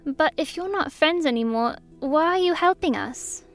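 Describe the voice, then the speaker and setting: Young girl princess, acted by a woman: high light pitch, candid and curious, fantasy audio drama. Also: expressive, moderate pace, soft background music. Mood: hesitant, probing.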